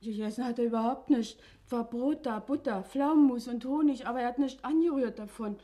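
An actress playing a plain-spoken, grumbling housemaid in a radio play, forthright and colloquial. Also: female voice, a little high, a little fast, warm tone, close to an everyday voice. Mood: defensive, chatty. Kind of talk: German radio play acting.

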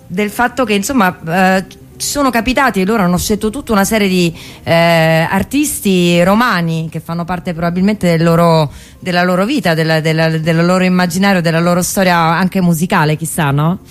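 Casting: woman radio co-host, lowish voice, informal and enthusiastic, conversational delivery. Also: fairly quick, soft background music. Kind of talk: casual radio chat.

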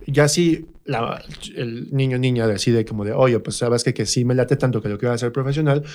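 Mexican guy, middle aged, normal pitch, super colloquial interview chat, kinda animated. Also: a little fast. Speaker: guest, stage performer.

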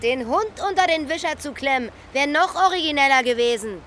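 A woman's voice: high-pitched, expressive, brisk, fairly bright tone, slight background noise. A woman acting a disappointed, cutting lover in a radio drama quarrel, blunt and scornful. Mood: curt, hurt.

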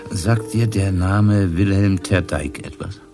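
Laconic police inspector, low register, unhurried questioning, crime radio play, background music. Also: male voice, fairly even intonation. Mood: dry, probing. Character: laconic male police inspector transferred to the provinces. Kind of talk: 1990s German radio crime drama.

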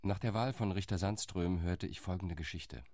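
Low voice, dry studio, a calculating delegate, matter-of-fact. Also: male voice, normal speed, warm tone, no room sound. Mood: cool. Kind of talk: radio play monologue.

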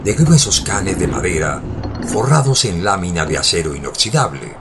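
A man with a low voice narrates an audio drama. He tells the story formally and in literary phrasing, with noticeable ambient noise behind him. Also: lively intonation, normal speed. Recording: clear background ambience noise.

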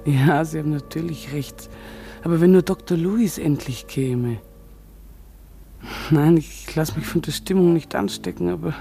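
An actress playing an uneasy hospital nurse on night duty. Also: female voice, low-pitched, gravelly, exaggerated voice. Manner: uneasy, hushed.

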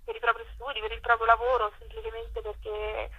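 A woman's voice: on the high side, completely flat delivery, fairly quick, slightly rough, narrow, tinny audio. A woman explaining a project as a guest in a conversational interview.

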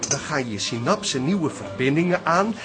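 An actor playing a pompous, self-satisfied pub philosopher with a Netherlands Dutch accent, lecturing in a radio play. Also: male voice, fairly high pitch, expressive, moderate pace, lightly acted. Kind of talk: radio comedy-drama acting. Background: music.

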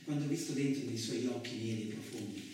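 An actor playing a battered, bewildered truck driver in a theatrical radio monologue, speaking in a halting, repetitive, colloquial way. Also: male voice, mid-range voice, darkish tone, natural voice. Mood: dreamy, anguished. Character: battered, bewildered, rough-spoken Italian truck driver telling his ordeal. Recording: very echoey, distant, clearly noisy recording.

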